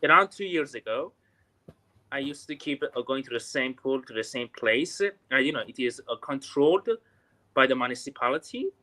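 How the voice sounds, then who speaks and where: A man from Iran with an Iranian accent, calling in to practise English and often pausing on "you know". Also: medium pitch, lively intonation, pushed and bright, low-quality recording. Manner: hesitant, many "you know" fillers. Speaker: learner calling in. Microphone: remote call audio.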